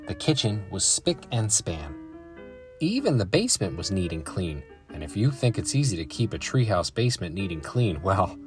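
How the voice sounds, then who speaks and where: A man reading a children's story aloud in an animated storytelling style. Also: on the low side. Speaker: children's book reader and channel host.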